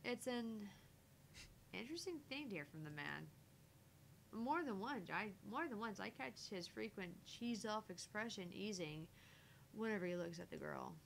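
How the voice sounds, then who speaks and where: A woman's voice: medium pitch, animated, moderate pace, vocal fry, a little hiss or hum, rough recording. A woman streamer reading a visual novel aloud in first-person narration with casual asides.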